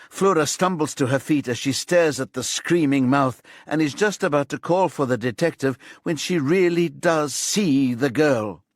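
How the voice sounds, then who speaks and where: A man narrating a thriller audiobook in a measured, suspenseful storytelling manner. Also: fairly high pitch, no echo, clean studio sound.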